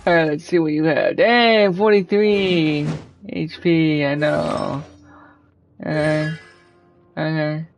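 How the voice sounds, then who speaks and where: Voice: medium pitch, fairly even intonation, slow. A gamer giving casual commentary while playing through a game. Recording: dull, lo-fi mic. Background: game music.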